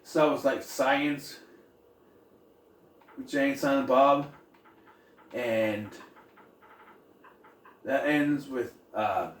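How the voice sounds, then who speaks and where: A man giving a casual, rambling, improvised recap as a reviewer of an animated series. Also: medium pitch, drawn-out words, pauses often. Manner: loose, improvised, acting out quoted bits. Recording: faint background noise.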